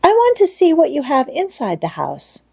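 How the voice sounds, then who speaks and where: An eager little girl from a storybook, acted out by a woman narrator. The voice lifts high and lilting, innocent and childlike, with cheerful, plain-spoken delight. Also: wildly swooping pitch, normal speed, creaky, mellow, exaggerated voice. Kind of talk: storybook read-aloud character voice.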